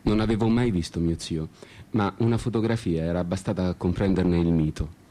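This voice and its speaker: A man narrating a scripted first-person story in an ironic, disenchanted, deliberately repetitive way. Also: low voice, steady tone, mellow. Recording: prominent music under the voice. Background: plucked guitar music.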